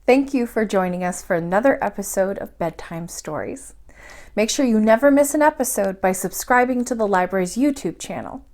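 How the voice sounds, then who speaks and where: A woman reading a classic adventure story aloud as a storytime host, with dramatic emphasis and deliberate pauses. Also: medium pitch, lively intonation, a little mellow. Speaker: library storytime host and reader. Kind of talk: expressive bedtime audiobook-style reading of a classic adventure novel.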